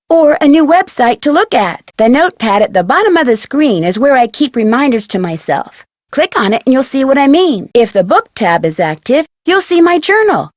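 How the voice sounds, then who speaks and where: A woman's voice: a little high, darkish tone, no room sound, studio quality. A woman playing a perky, polite, inquisitive young detective in a video game, speaking in a chirpy, articulate, friendly way. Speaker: game protagonist and tutorial guide. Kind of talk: scripted video game voice acting.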